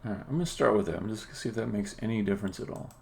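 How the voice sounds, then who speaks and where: A man live-streaming as a game developer, thinking aloud in a halting, muttering way as he codes. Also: lowish voice, creaky, a little mellow. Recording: faint background noise. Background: computer keyboard typing and clicking.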